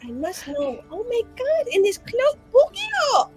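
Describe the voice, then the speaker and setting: A woman's voice: very high voice, wildly swooping pitch, a little slow, a little mellow, soft background music. A woman playing a tabletop roleplaying game, bubbly and effusive, slipping between narration and character talk. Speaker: player.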